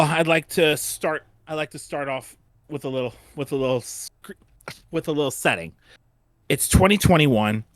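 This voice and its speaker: A man's voice: mid-range voice, a bit bright, no echo. A man hosting a casual fan retrospective panel, often repeating and restarting his phrases.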